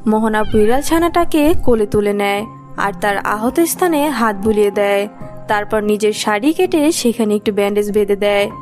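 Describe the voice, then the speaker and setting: A woman narrator reading a scripted animated story. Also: medium pitch, normal speed, faint music behind.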